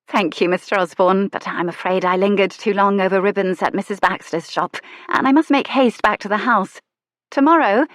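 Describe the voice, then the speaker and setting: A woman's voice: mid-range voice, normal speed, no echo, close to an everyday voice. A woman voicing a fierce young lady in a period storybook, defiant and protective. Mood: hurried. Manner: defiant, sharp.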